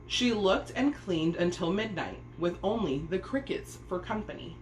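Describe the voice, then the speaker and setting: A woman reading a children's story aloud as an expressive narrator. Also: medium pitch, moderate pace. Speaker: storybook reader. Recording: echoey room, faint background noise.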